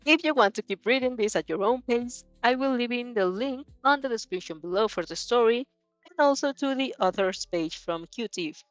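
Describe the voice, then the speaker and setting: A woman chatting casually as a host, reacting to a story in a giggly, excitable, rambling way. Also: on the high side, normal speed, dry recording, lo-fi sound, faint music behind. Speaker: host reading fan fiction aloud and reacting. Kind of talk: casual reaction stream chat.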